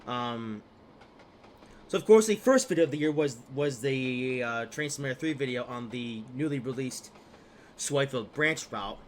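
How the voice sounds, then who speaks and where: A young man, a little congested from a cold, talks through his gameplay in a loose, rambling way, full of fillers and half-finished asides. His voice sits at an ordinary middle pitch. A faint hiss runs under the desk-mic recording. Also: lively intonation, moderate pace. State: slightly stuffed up from a cold. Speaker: gaming video host. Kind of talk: casual gameplay commentary.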